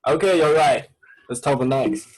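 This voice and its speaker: A man's voice: a little high, a little slow, poor audio. A man with a Chinese accent, a native Chinese speaker teaching an online class and coaxing students with repetitive prompts in broken English.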